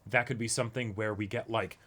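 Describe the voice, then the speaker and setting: A man's voice: lowish voice, fairly even intonation, fairly quick, fairly bright tone. A man co-hosting a reaction show, chatting casually, often hedging and checking for agreement as he talks. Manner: conversational, hedging with "like" and "you know", seeking agreement with "right?". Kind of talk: casual discussion of an anime episode.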